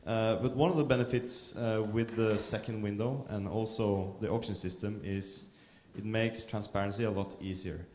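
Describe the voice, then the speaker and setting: A man with a lowish voice puts a question to a panel, hesitant and filling pauses. The room is echoey. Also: fairly even intonation, a little mellow, a little hiss or hum. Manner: hesitant, many fillers. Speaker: coffee buyer asking a question. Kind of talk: audience question. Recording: echoey conference hall.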